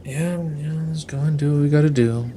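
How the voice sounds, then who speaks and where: Offhand, fast-talking male gaming streamer. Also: fairly high pitch, noisy background. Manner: offhand, slangy. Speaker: live streamer playing a game. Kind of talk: casual gaming commentary. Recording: game music over the mic.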